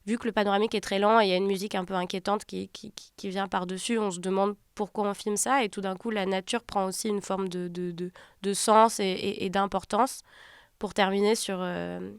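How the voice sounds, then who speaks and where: A woman film director from Turkey, talking about her film in a reflective, halting way and often pausing to find her words. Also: mid-range voice, steady tone, clean tone, no echo, clean studio sound.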